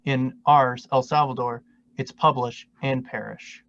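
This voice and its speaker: A man reading a martyr's first-person words aloud in a steady, earnest way. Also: medium pitch, unhurried, creaky, lo-fi sound.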